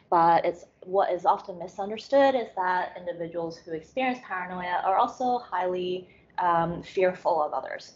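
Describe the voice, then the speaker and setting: A woman from Hong Kong talks through her slides in a measured lecture, her voice sitting a little low. She pauses often and fills gaps as she thinks. The recording sounds muffled and lo-fi. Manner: measured, many fillers and restarts. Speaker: academic speaker. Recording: muffled lo-fi audio.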